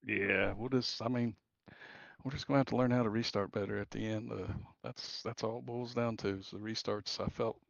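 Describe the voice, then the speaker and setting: Gravelly man over dull, roomy voice chat. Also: lowish voice, fairly even intonation. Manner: plain-spoken, self-blaming, halting. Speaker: sim racing driver being interviewed. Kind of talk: casual post-race interview. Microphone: remote voice chat into the broadcast.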